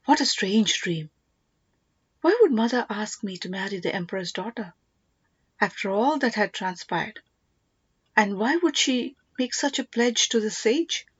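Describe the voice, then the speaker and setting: Female audiobook narrator, mid-pitched, scripted storytelling, close dry sound, slightly muffled. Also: animated, a little slow, pauses often, slightly rough, dry recording.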